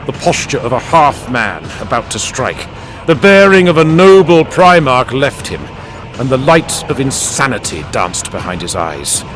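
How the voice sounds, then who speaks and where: A man reading dramatic scripted narration as an audiobook narrator. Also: mid-range voice. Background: music and added sound effects.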